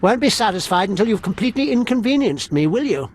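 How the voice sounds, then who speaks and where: Swooping, smug villain apprentice. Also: male voice, high voice, big pitch swings, fast, slightly rough, exaggerated voice. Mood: smug, taunting. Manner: smug, theatrical taunting. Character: smug, mocking young male dark apprentice villain. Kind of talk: video game villain acting.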